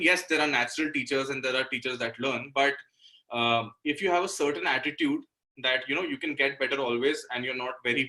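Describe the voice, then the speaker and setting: Bright-voiced Indian man, earnest and conversational. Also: medium pitch, rough recording. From Delhi, India. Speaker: interview guest, musician and guitar teacher. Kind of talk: casual interview conversation.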